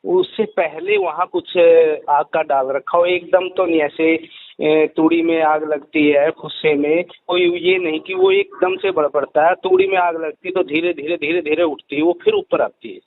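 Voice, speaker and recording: A man's voice: on the high side, big pitch swings, normal speed, vocal fry, no room sound. A man giving explanations in a phone interview as an investigator, emphatic and repeating his phrases. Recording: tinny, dry phone-call line.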